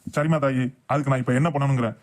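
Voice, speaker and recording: Man playing grumbling boastful husband, very quick delivery, mid pitch, comic cartoon dialogue. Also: steady tone, a little mellow, close to an everyday voice. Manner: blustering, complaining.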